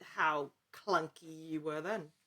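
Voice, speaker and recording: A woman speaking as an interview guest, chatting casually with halting, emphatic repetition. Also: low voice, sharp tone. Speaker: actor and podcast guest.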